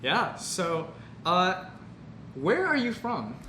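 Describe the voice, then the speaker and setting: A man's voice: sits high, wildly swooping pitch, extremely slow. A young man, a medical student vlogger, hosting a casual interview and sounding eager and a little nervous. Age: young adult. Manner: eager, slightly nervous, uses filler words. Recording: echoey room with faint noise.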